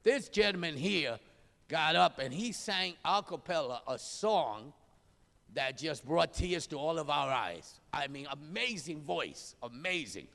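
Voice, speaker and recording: A man with a Spanish accent, an auctioneering instructor giving a lively, joking graduation speech and calling out names. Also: a little high, a little slow, vocal fry. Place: large hall. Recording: live hall, long reverb.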